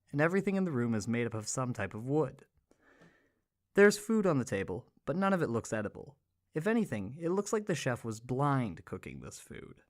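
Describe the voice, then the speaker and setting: Male narrator with lively, swinging intonation. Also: medium pitch. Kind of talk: scripted story narration.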